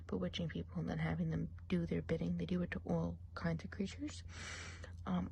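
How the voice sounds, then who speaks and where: A woman's voice: lowish voice, vocal fry, a little hiss or hum. A woman playing a gossipy female forest witch in an ASMR roleplay, whispering and murmuring as she chats on. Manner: gossipy, mixes soft speech and whispers.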